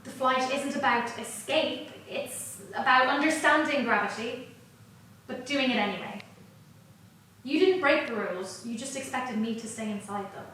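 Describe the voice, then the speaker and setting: A woman's voice: high voice, moderate pace, a bit bright, a little hiss or hum. A woman playing an earnest, wounded, analytical mathematician in a dramatic staged reading, reflective and hesitant. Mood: wistful, hurt. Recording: very echoey room, distant mic, music.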